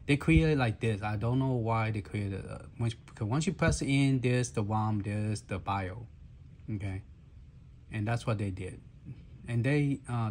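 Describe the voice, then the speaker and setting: Hoarse man demoing a handheld. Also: lowish voice, darkish tone, slight background noise. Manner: halting, many fillers and restarts. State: hoarse, clearing his throat. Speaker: handheld gaming reviewer. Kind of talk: casual walkthrough demo.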